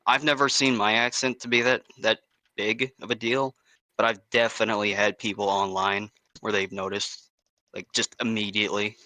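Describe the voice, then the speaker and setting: A man's voice: fairly low pitch, flat delivery, moderate pace, sharp tone, no room sound. A young man from Texas with a Texan accent, chatting casually on a stream call, laid-back and laughing often. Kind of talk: casual chat.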